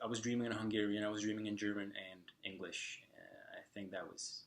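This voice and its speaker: A young man whose first language is Slovak talks casually to his viewers in a slightly low voice. He pauses often, restarts his sentences and fills the gaps with "um" and "uh". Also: steady tone, vocal fry. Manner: halting, with many fillers and restarts. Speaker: vlogger. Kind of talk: casual talk to camera.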